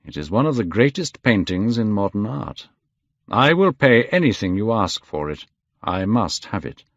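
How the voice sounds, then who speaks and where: A man's voice: on the low side, sing-song, moderate pace, no room sound, lo-fi sound. A man voicing a suave, amused, self-assured lord in a cool, languid storybook reading. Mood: assured, admiring.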